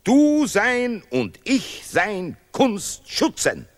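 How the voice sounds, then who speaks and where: A man playing a pompous patriotic artist in a radio play, declaiming in repetitive, deliberately broken German. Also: high voice, wildly swooping pitch, heavily acted. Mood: indignant. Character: pompous Nobel laureate artist, zealous patriot of language and fatherland.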